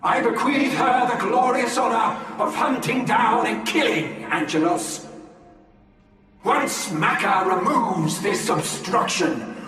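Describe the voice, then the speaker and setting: Slow, echoing, imperious alien prophet-leader. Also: male voice, high voice, sharp tone, far from the mic, heavily acted. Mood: grim, resolute. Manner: declamatory, grandiose, drawn-out delivery. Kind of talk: theatrical game cinematic acting. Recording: echoey hall from afar, music behind.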